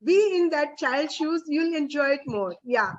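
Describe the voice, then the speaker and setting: A woman's voice: high voice, animated, a little slow, highs cut off. Polite, encouraging woman facilitator. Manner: polite, encouraging, hesitant restarts. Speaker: reading workshop facilitator. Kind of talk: coaching a reader's theatre session.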